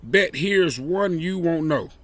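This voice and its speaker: Guy reading a kids book out loud, kinda high forceful voice, emphatic w dramatic pauses. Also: expressive, no room sound. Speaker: volunteer storyteller reading a children's book. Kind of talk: expressive read-aloud storytelling with brief asides.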